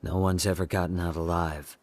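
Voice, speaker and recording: An actor playing a cool, guarded underworld operator in a video game, terse and unruffled. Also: male voice, sits low, flat delivery, a little fast, darkish tone. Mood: coolly indifferent.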